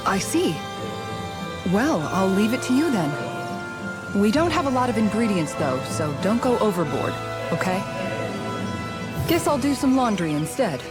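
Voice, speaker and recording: Motherly female knight for a fantasy game, practical, admonishing, expressive mid-range voice. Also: mid-range voice, unhurried, long pauses. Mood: gently firm. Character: practical, motherly young woman knight, gently scolding. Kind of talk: English video game cutscene acting. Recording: game music behind the voice.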